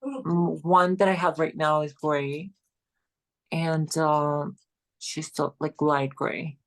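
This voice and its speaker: A woman's voice: deep voice, steady tone, slow. A Georgian woman in her late twenties chatting casually as a guest, rambling and saying "like" a lot. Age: 20s. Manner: rambling, filler-heavy, keeps saying "like". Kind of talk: casual chat.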